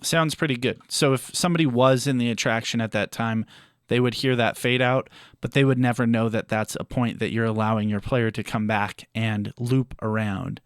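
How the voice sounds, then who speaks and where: A man presenting a step-by-step audio software tutorial in a measured, explanatory way. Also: medium pitch, steady tone, smooth, no room sound, clean studio sound.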